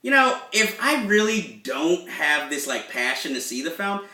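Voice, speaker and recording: A man's voice: fairly high pitch, very animated, moderate pace, pushed and bright, reverberant, recorded from a distance. A man hosting a casual film review show, talking in a rambling, self-deprecating way. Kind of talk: casual chat.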